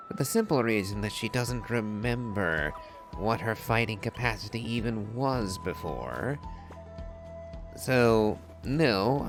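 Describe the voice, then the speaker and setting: A man giving casual game commentary in a musing, measured, wordy way. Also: on the low side. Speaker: game streamer.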